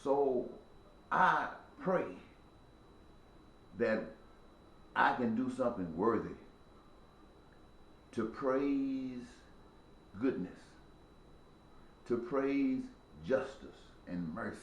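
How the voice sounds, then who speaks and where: A man's voice: mid-range voice, lively intonation, extremely slow, long pauses, reverberant, a little hiss or hum. A man in his sixties giving an impassioned spoken monologue with a preachy, repetitive, emphatic cadence. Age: 60s. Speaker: speaker addressing viewers.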